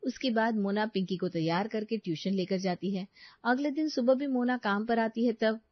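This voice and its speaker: A woman's voice: fairly high pitch, normal speed, no echo, dull audio. A woman reading scripted narration for a cartoon story.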